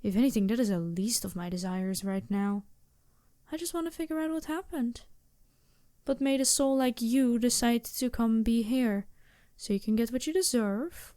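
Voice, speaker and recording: A woman plays a regal female demon princess in an intimate ASMR roleplay. The voice sits fairly high but stays mellow and very close and dry. Every phrase is unhurried and measured, imperious with compassion underneath. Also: no echo, close to an everyday voice. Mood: impatient, firm. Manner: deliberate, softly commanding, measured.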